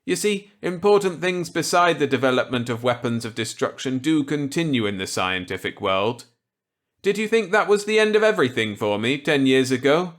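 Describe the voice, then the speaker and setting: A man's voice: mid-range voice, sing-song, moderate pace, pitched above the performer's own voice, close to an everyday voice. A man voicing a genial, wry, unrepentant scientist in a storybook reading, with clipped, breezy delivery. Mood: wry, assured.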